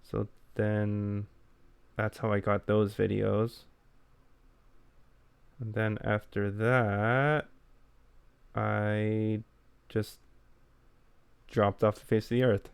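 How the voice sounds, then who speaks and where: A man co-hosting a podcast, chatting casually in a low-key, self-effacing way. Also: level tone, drawn-out words, vocal fry, pauses often, smooth, dry recording. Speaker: podcast co-host who makes gaming videos.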